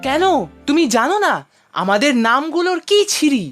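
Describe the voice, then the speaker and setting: A woman's voice: high voice, animated, normal speed, fairly bright tone, soft background music. A woman voicing an aggrieved, demanding school-age boy in a cartoon, complaining insistently. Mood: indignant. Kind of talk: animated cartoon dialogue.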